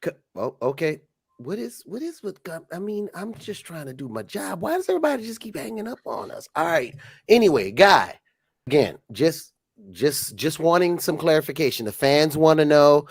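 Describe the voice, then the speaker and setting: A man's voice: fairly high pitch, big pitch swings, moderate pace, vocal fry, slightly rough, no room sound, clean studio sound. A man co-hosting a wrestling podcast as a referee, chatting casually with nervous, stammering restarts.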